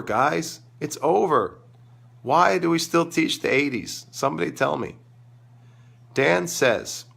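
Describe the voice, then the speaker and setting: A man's voice: mid-range voice, fairly bright tone. A man with a sore, crackly voice hosting a live tennis coaching Q&A, talking in an enthusiastic, rambling way. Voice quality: crackly, gravelly voice. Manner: enthusiastic, rambling, thinks aloud. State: sore throat, losing his voice. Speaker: tennis coach and show host. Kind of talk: live-stream Q&A talk.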